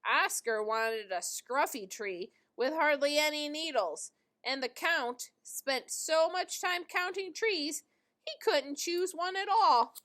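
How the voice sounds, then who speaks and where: A woman reading a children's storybook aloud in an expressive, deliberate storytelling manner. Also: high-pitched.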